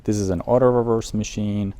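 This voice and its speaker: A man's voice: fairly low pitch, steady tone, a little fast, warm tone. A man presenting a casual product guide in an audio shop, talking informally and with enthusiasm for the gear. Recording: noticeable background noise, room ambience.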